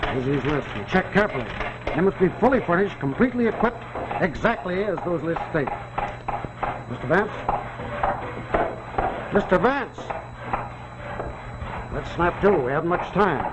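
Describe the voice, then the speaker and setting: An actor playing a brusque, demanding army official who gives clipped orders in a 1940s television drama. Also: male voice, high-pitched, big pitch swings, moderate pace, pauses often. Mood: brusque, urgent. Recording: dull, noisy 1940s TV soundtrack.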